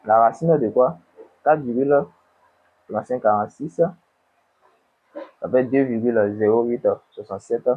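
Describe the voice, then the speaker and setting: A man with a cold, tutoring an econometrics exercise and dictating numbers slowly while asking himself questions. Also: medium pitch, slightly rough, phone-quality sound. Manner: slow, dictating numbers, asks and answers himself. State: has a cold. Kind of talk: screen-recorded worked exercise.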